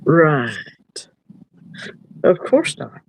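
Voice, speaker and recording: A man hosting a casual livestream chat, rambling and easygoing, quick to laugh. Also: a little high, wildly swooping pitch, gravelly, a little hiss or hum, low-quality recording. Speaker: livestream host.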